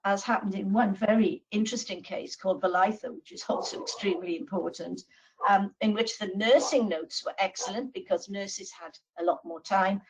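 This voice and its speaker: A woman with a Welsh accent giving a deliberate legal presentation on a video call, with frequent pauses and fillers. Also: mid-range voice, recorded from a distance, a crowd in the background, low-quality recording. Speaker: law professor speaking on a webinar. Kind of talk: measured expert presentation.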